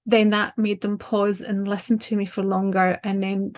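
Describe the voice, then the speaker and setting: A woman's voice: mid-range voice, level tone, moderate pace. An older woman with a Scottish accent, casually giving advice over a video call from a small home office, chatty and full of restarts. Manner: chatty, talks with her hands, many ums and restarts. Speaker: branding designer and show host.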